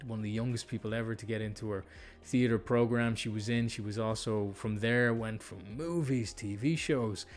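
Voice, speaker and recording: A man's voice: on the low side, steady tone, normal speed, faint music behind. A man hosting a podcast, chatting casually and putting his points in an informal, rambling way. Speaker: podcast host. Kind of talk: casual interview chat.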